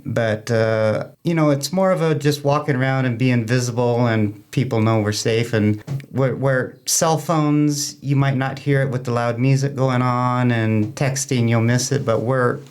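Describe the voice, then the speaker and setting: A man's voice: mid-range voice, fairly even intonation, measured pace, smooth. A man explaining things as a club member guest in an informal interview, plain-spoken, folksy and a little rambling.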